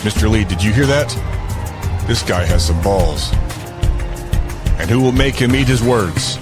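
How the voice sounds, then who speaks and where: An actor playing a cocky, hot-headed young fight boss in an audio drama, brash and taunting. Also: male voice, fairly low pitch, expressive, a little slow, over music.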